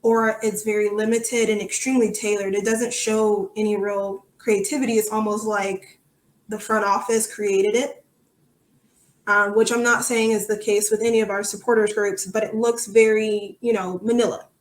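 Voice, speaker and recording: A woman's voice: mid-range voice, flat delivery, moderate pace. A woman in her thirties giving candid, emphatic, opinionated answers in a conversational interview. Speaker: podcast guest, soccer supporter. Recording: echoey room.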